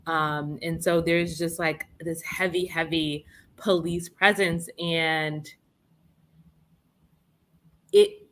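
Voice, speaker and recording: A young woman from Brooklyn, speaking as a guest in an interview, earnest and impassioned, thinking out loud in long flowing sentences. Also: low voice, steady tone, very slow, long pauses. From Brownsville, Brooklyn, New York. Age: young adult. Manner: earnest, impassioned, talks in flowing run-on thoughts. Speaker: guest, policing reform advocate. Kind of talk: interview conversation.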